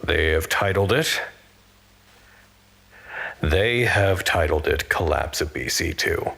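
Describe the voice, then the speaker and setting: An earnest, introspective narrator in an audio drama. His voice sits fairly low, and he speaks in a measured, thoughtful way, with small hesitations as he puts his impressions into words. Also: lively intonation, gravelly, fairly bright tone, slightly rough, heavily acted. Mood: uneasy, wary. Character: reflective male narrator describing an improvised office art gallery, earnest, uneasy. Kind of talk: scripted audio drama monologue.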